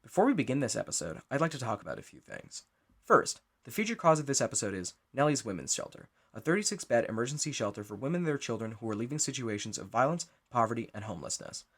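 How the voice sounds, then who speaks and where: A man's voice: medium pitch, sing-song, fast talker, husky. A man giving a scripted introduction as the writer and director of a show.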